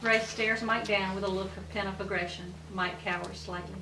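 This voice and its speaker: A woman's voice: medium pitch, steady tone, normal speed. A woman reading out stage directions as the narrator of a scripted table read. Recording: echoey room, distant mic, faint noise.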